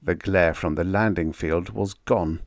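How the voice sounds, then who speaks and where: A man's voice: deep voice, fairly even intonation, unhurried, no echo, studio quality. A man reading scripted story narration as an audiobook narrator.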